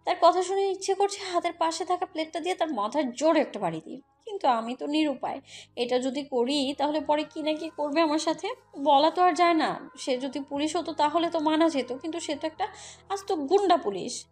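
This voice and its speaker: A woman's voice: high voice, brisk. A woman narrating a romantic audio story in the first person, with scripted delivery. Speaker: story narrator, also voicing the heroine. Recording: background music under voice. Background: music.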